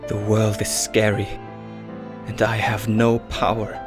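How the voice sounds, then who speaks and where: Man playing a slow-speaking, timid young boy over music. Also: on the low side, completely flat delivery, close to an everyday voice. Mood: fearful, brooding. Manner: hesitant, introspective. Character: gentle, timid, earnest young boy who feels powerless. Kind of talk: anime-style dramatic dub acting.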